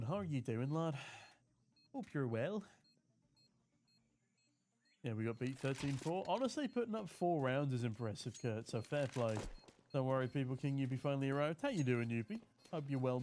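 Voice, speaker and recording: A mid-pitched man casts a shooter match on his own, mixing quick play calls with jokey chat aimed at his viewers. His talk is casual and easygoing. Faint gunshots and game sounds sit under his voice. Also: darkish tone, a little hiss or hum. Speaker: esports caster. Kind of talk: solo esports commentary with chat reading.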